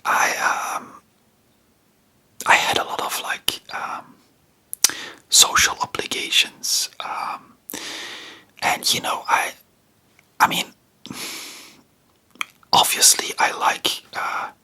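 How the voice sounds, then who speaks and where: A non-native English speaker hosting ASMR, chatting informally about history in a soft, breathy whisper with a rambling, hesitant manner. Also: slow pace, long pauses. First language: not English. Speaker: ASMR video creator and host.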